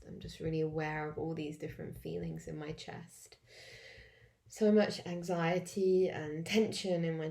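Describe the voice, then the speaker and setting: An English woman who is wheezy and coughing, talking in a halting way with many fillers as she walks through a self-help tapping routine alone at home. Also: low-pitched, mellow. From England. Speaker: vlogger demonstrating tapping. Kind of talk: casual self-help walkthrough.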